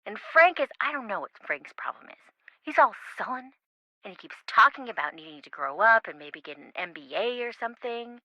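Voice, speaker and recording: A woman's voice: on the high side, big pitch swings, a little fast, sharp tone, dry recording, exaggerated voice, rough recording. An actress playing a sassy young woman, a wisecracking best friend in a video game, with a teasing, sarcastic manner. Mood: teasing, chatty. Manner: teasing, sarcastic, dryly exaggerated.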